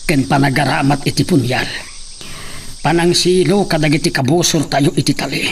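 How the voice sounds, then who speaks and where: A man plays an earnest family man turned resistance planner in a radio drama. His fairly high, gravelly voice is grave, measured and resolute. Faint noise and chirping insects sit behind him. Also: close to an everyday voice. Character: earnest father and husband planning a village resistance.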